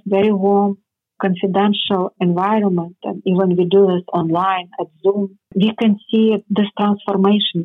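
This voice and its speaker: A woman with a Ukrainian accent, a native Ukrainian speaker, answering interview questions earnestly in slightly halting phrasing over the phone. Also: mid-range voice, husky. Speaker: interview guest, foundation representative. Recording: phone line.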